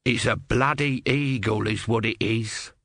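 A man's voice: mid-range voice, unhurried, gravelly, fairly bright tone, no echo. Man voicing a tortoise god, male, grumpy, dull resigned mutter, storybook reading, dry sound. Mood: sardonic.

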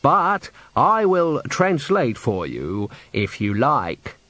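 A man's voice: medium pitch, huge pitch swings, slow, fairly bright tone, dry recording, full character voice. A man voicing a prim, formal, thin little opera manager in an audiobook. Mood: polite.

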